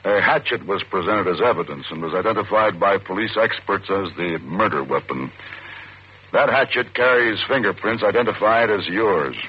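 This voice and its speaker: An actor playing a stern, forceful American deputy district attorney in an old-time radio suspense drama, commanding, clipped and formal. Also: male voice, lowish voice, animated, moderate pace, natural voice. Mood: stern, pressing. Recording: muffled, noisy old radio recording.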